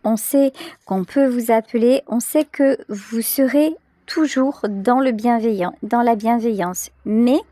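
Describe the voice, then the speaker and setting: Slow-paced, higher-voiced woman reading tarot. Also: mellow, no echo. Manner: hesitant, reflective, searching for words with pauses and repetitions. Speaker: tarot reader, channel host. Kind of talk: intuitive tarot reading addressed to the viewer.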